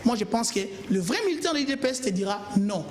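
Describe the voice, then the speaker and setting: A man's voice: high-pitched, lively intonation, fast, reverberant, a little hiss or hum. A man from Kongo Central, arguing emphatically as a panelist in a political TV debate. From Kongo Central, DR Congo. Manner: impassioned, emphatic, pleading. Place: TV studio.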